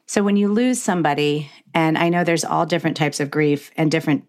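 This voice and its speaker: Middle-aged woman, low-pitched voice, podcast host, reflective, heartfelt conversational style, voices imagined quotes. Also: fairly quick. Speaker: podcast host interviewing a guest.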